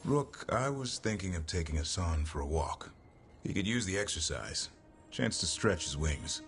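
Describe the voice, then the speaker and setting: A man's voice: deep voice, very animated, normal speed, fairly bright tone, soft background music, full character voice. An actor playing a skeptical, blunt male companion in a video game, with a dry, terse manner. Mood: dry, gruffly fond. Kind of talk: video game cinematic acting.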